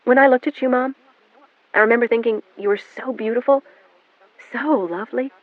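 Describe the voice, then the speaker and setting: A woman reading an audiobook voices an adopted young daughter, quiet and then insistent and demanding. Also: a little high, moderate pace, pitched above the performer's own voice, close to an everyday voice. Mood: wistful. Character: adopted young adult daughter, cold and accusing. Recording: narrow phone-like band, dry.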